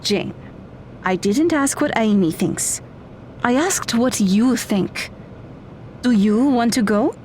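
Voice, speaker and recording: Kindly mom with a high voice, played by a woman. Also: expressive, slow, pauses often, darkish tone, slight background noise. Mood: caring. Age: middle-aged. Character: kind, upbeat widowed mother of a teenage daughter. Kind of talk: audio drama acting.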